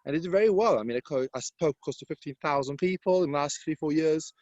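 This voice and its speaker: Man with Serbian accent, non-native English, confident coaching tone, fairly high voice, dry close sound. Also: fast talker, darkish tone, dry recording, highs cut off. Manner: confident sales-coach delivery, broken grammar. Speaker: property mentor guest. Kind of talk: interview answers.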